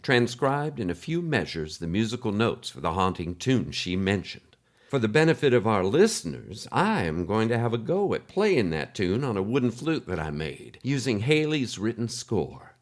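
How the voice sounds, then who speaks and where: A man's voice: fairly low pitch, big pitch swings, normal speed. A man playing a folksy, heartfelt podcast host who addresses the listeners directly in a scripted drama. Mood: intrigued. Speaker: podcast host in a fiction show.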